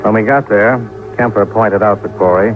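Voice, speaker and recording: A man's voice: fairly low pitch, moderate pace, close to an everyday voice. An American man playing a wry, unflappable insurance investigator in an old-time radio detective drama, dry and casual. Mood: brisk narrating. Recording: dull old radio recording, music behind.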